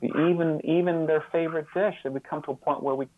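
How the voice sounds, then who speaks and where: A man's voice: on the high side, fairly even intonation, fast, phone-quality sound, a little hiss or hum. A man giving a reflective interview as a guest, speaking earnestly and often repeating words as he searches for phrasing. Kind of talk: reflective interview talk with personal examples.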